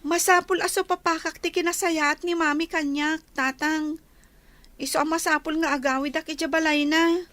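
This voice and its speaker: A woman's voice: high-pitched, steady tone, moderate pace, a bit bright, no room sound. A woman with an Ilocano accent playing a devoted, anxious young daughter in a radio drama, earnest and pleading. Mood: worried. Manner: earnest, pleading, respectful to elders.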